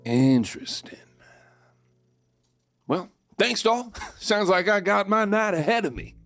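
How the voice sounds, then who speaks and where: Cocky, animated outlaw played by a man. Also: high voice, takes it slowly, soft background music, exaggerated voice. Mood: smug. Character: cocky swindling outlaw gunslinger in the Old West. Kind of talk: audio drama narration and dialogue.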